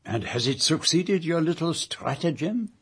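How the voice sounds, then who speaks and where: An actor playing a courteous, observant foreign gentleman detective in a radio drama, speaking in a precise, formal manner. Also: on the high side, lively intonation, normal speed, breathy edge, no echo, heavily acted. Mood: polite, probing. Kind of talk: radio drama acting and narration.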